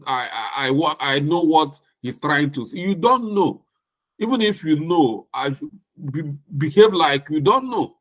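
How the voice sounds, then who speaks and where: Middle-aged Nigerian-accented male lecturer, slightly high voice, slow and deliberate. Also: a bit bright. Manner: slow, deliberate, with emphatic repetition. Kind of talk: recorded lecture.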